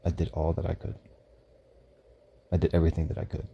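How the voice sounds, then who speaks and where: A man's voice: rumbling low, monotone, fairly quick, creaky, slightly rough, lo-fi sound. A man co-hosting a podcast in casual faith chat, rambling and correcting himself as he talks. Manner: rambling, self-correcting, laughs often. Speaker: podcast co-host.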